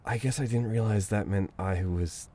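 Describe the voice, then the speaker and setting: A man's voice: fairly low pitch, moderate pace, natural voice. A man playing a caring, teasing, dominant best friend in an intimate audio roleplay, talking casually and conversationally. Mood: flustered, apologetic. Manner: casual, conversational, with soft chuckles and hesitations.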